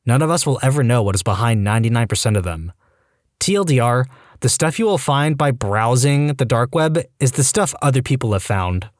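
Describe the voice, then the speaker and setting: A man reading online stories aloud in a scripted storytime narration, wry and lively, with dry asides. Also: mid-range voice, dry recording.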